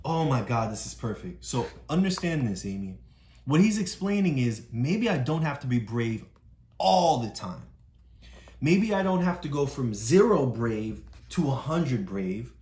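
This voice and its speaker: A woman with a deep voice and animated, up-and-down intonation explains a story to a child, encouraging and patient. The sound has noticeable room echo and a faint hiss behind it. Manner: encouraging, keeps checking understanding. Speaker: parent coaching a child through a read-aloud.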